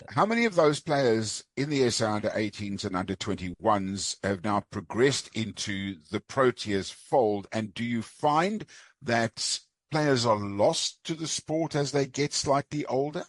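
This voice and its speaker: A man's voice: fairly low pitch, expressive, normal speed, no room sound. A man hosting a sports podcast, asking long, rambling questions with many asides. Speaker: podcast host. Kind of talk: sports interview.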